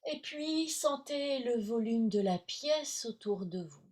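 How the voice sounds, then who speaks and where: A woman teaching yoga nidra, guiding relaxation in short, soothing, measured phrases with long pauses. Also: on the high side, lively intonation, darkish tone. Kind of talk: guided relaxation instruction.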